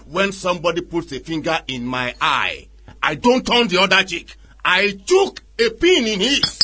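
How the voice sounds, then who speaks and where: A man's voice: high voice, sing-song, unhurried, pushed and bright, heavily acted. An actor playing a proud, aggrieved Nigerian chief in a radio drama, declaiming his accusations indignantly with a Nigerian accent. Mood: wounded, threatening.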